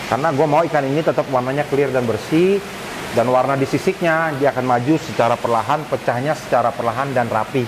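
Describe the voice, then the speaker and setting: Male vlogger, medium-pitched, informal explainer talking to viewers as friends, noticeable hiss behind. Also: a little fast. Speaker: arowana fish keeper and vlogger. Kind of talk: casual explanatory vlog talk. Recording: clear hissy background noise. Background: steady hiss.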